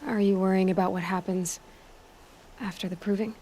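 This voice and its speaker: A woman's voice: medium pitch, fairly even intonation, normal speed, dark tone, slight background noise, natural voice. An actress playing a headstrong, curious young female outcast hunter in a video game, talking in a direct, questioning and slightly wry way. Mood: earnest. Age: young adult. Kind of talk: video game cutscene acting.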